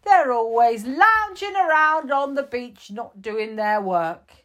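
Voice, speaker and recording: A woman's voice: a little high, lively intonation, takes it slowly, fairly bright tone, voice pushed up. A woman voicing a lazy, fawning male police officer in a children's story, wheedling and full of excuses.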